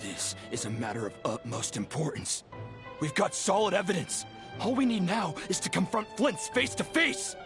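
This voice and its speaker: An actor playing an agitated, accusing townsman in an anime game, loud and demanding. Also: high-pitched, lively intonation, moderate pace, over music. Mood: insistent. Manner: shouting, demanding. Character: agitated, accusing townsman leading an angry mob.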